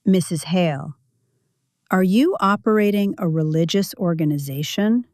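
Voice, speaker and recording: A woman's voice: on the low side, animated, normal speed, a little mellow, no room sound, clean studio sound, natural voice. A woman voicing a weary, careful male deputy with a formal, restrained manner in an audio story.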